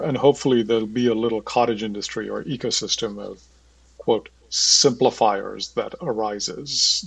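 Gravelly, husky man hosting an interview. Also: fairly low pitch, a bit bright, no echo, far from the mic, low-quality recording. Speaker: podcast host and interviewer. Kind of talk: measured interview questioning.

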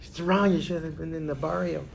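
A man's voice: a little high, a little fast, vocal fry, slight background noise. A man from the Miami area, a comics creator chatting casually on a convention panel and acting out other people's lines as he tells stories. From Miami area, USA. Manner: animated storyteller who acts out quotes.

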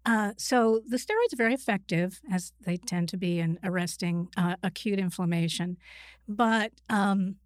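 Mid-pitched woman from New York, dry sound. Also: moderate pace, dry recording. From Queens, New York, United States. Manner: explanatory, hesitant, self-correcting. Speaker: psychiatrist, interview guest. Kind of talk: conversational personal account.

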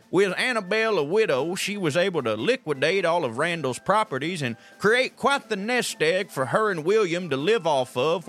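A man's voice: on the high side, a bit bright. A man with a Southern US accent acting a folksy colonel detective who narrates a comic audio drama with wry asides. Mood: folksy, satisfied. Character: folksy, digressive Southern colonel detective telling his tale.

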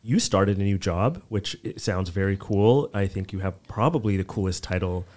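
A man's voice: low voice, moderate pace, a little mellow. A man, chatting casually and reflectively on a podcast, often circling back as he phrases his thoughts. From Canada. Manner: reflective, rambling, hesitant phrasing. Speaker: podcast host, nonprofit executive director. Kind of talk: casual conversational podcast chat.

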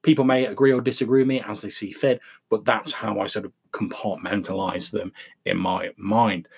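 Gravelly, low-voiced man. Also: steady tone, moderate pace. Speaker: podcast host. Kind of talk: reflective solo podcast talk.

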